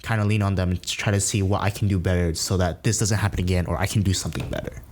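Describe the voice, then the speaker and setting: Young guy hosting a podcast, kinda low voice, just chatting casually. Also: steady tone, fast, a little mellow, slight background noise. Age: young adult. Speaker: podcast host. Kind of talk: casual chat.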